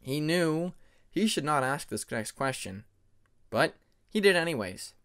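A man's voice: on the high side, animated, a little slow, a bit bright, smooth. A man narrating scripted fantasy fiction as an audiobook reader.

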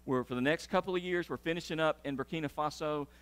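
A man's voice: fairly high pitch, fast, smooth. Pastor announcing to his church. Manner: animated, gesturing while pacing the stage. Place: large church sanctuary.

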